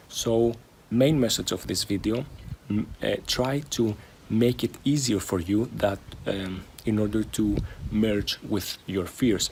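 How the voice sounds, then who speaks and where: A man with a fairly low voice talks informally to his viewers as a host giving personal advice. His phrasing is halting, broken by pauses and repeated words. The recording carries faint background noise. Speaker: video host and stuttering coach. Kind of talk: informal personal vlog talk, sharing advice.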